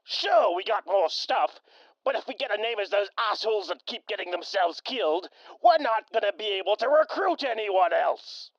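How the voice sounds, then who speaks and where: A man's voice: sits high, lively intonation, normal speed, dry recording. A man playing a foul-mouthed, wary raider lieutenant who swears and argues hotly, in dull lo-fi sound. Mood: grumbling.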